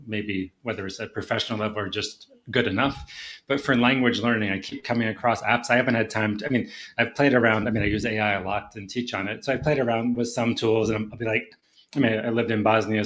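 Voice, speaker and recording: A man's voice: on the low side, fairly bright tone. A man hosting a podcast, asking long, rambling questions in a casual way. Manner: rambling, loosely phrased questions. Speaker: podcast host.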